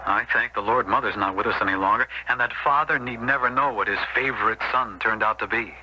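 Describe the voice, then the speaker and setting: An actor playing an earnest, moralizing brother in a radio drama, speaking in a righteous, deliberate way. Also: male voice, on the low side, bright, forceful tone, lo-fi sound. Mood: pleading. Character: earnest, sanctimonious, moralizing brother, a decent man. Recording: slightly noisy old radio recording.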